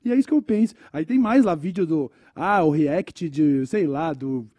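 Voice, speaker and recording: Hoarse Brazilian man, high and mellow. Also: animated, moderate pace, no echo. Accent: Brazilian Portuguese. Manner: crude, swears freely, jokey. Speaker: podcast host. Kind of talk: casual comedy podcast chat.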